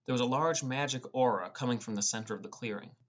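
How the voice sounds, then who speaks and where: A man's voice: mid-range voice, quick pace. A man reading first-person fantasy narration as an audiobook narrator. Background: music.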